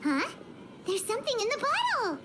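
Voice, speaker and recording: A woman voicing a tiny, childlike fairy companion in a video game, squeaky, eager and curious. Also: moderate pace, a little hiss or hum, exaggerated voice. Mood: startled, curious. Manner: excitable, animated. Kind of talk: cartoonish video game sidekick.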